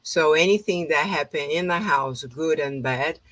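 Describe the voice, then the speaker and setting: Slow-spoken Brazilian woman host. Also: sits low, fairly bright tone, no echo. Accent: Brazilian Portuguese. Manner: hesitant non-native English with many fillers. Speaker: host, holistic therapist. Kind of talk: informal conversation.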